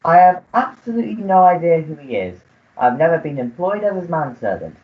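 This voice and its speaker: A polite, self-serving manservant, acted by a woman. The voice is low with wide movement in pitch, formal and deferential. It is radio melodrama on a slightly muffled recording. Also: sing-song, a little fast. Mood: evasive, dry. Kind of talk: melodramatic radio play acting.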